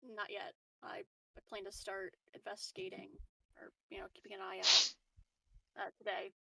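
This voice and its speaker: Female tabletop player, very sharp bright voice, casual roleplay, a little distant from the mic. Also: mid-range voice, little pitch movement, moderate pace, vocal fry.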